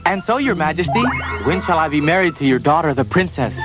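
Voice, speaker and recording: A man's voice: fairly high pitch, expressive, fast talker, lightly acted. A man playing a boastful, eager young tailor, the theatrical hero of a fairy-tale cartoon. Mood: proud. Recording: music behind the voice.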